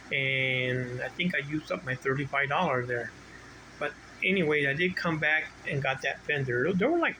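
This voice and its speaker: A middle-aged or older man giving a casual, unscripted video walkthrough as a hobby collector, rambling and halting as he restarts his sentences. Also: fairly high pitch, low-quality recording. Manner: rambling, halting, self-interrupting. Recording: clear steady background noise, ambient hum.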